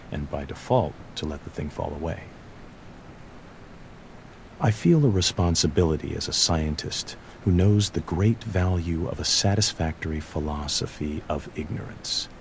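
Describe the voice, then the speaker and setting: Earnest scientist: deep, creaky voice, solemn and deliberate, scripted game audio log. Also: male voice, a little hiss or hum. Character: earnest, principled male scientist pondering doubt and freedom.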